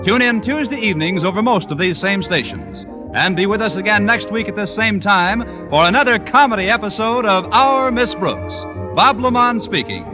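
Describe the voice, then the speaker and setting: A man's voice: high-pitched, big pitch swings, normal speed. A man announcing a network radio show in scripted 1940s style. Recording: dull 1940s radio recording, music behind. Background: show theme music.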